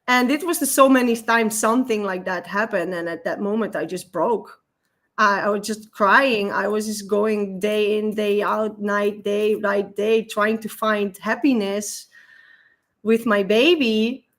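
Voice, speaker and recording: A woman in her thirties with a Dutch accent, a guest telling a personal story in a halting, emotional way, often repeating words. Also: medium pitch, a bit bright. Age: 30s.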